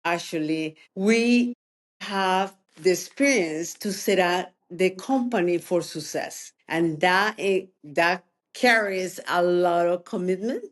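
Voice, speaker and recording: Colombian-accented businesswoman, lively guest. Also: fairly low pitch, takes it slowly. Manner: energetic, talks in bursts with non-native phrasing. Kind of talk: interview answers.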